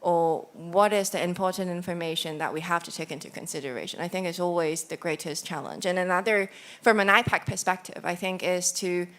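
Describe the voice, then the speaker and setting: A young woman from Hong Kong answering audience questions at a conference, casual and quick to correct herself. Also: lowish voice, fairly bright tone. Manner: casual, self-correcting, laughs easily. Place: conference room.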